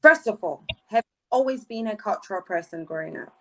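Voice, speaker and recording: A woman's voice: mid-range voice, animated, brisk, pushed and bright. A woman hosting a radio interview show, questioning her guest with emphatic rises on key words.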